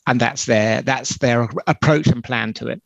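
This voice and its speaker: A middle-aged British man explaining things in an interview over a video call, measured and full of ums and restarts. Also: medium pitch, vocal fry, fairly bright tone, no echo. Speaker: telecom company spokesperson. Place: small indoor room on a video call. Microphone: headset. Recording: dull lo-fi video call audio.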